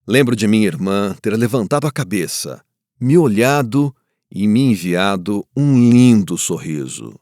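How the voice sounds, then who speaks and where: A man playing a grieving survivor of war, looking back in a solemn, reflective scripted first-person narration with weighty pauses. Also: on the low side, animated, darkish tone, no echo, clean studio sound. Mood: somber, grieving.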